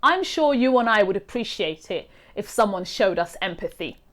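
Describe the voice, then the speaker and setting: A woman reading a children's picture book aloud as a host, with expressive, deliberate storytelling. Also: medium pitch. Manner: animated, deliberate delivery for children.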